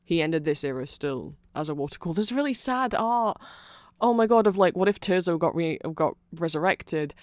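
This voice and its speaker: Rambling, self-correcting ASMR explainer, androgynous mid-pitched voice. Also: animated, moderate pace, hardly any pauses, dry recording, clean studio sound. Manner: rambling, flustered, self-correcting, often trailing off. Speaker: audio creator explaining fan lore.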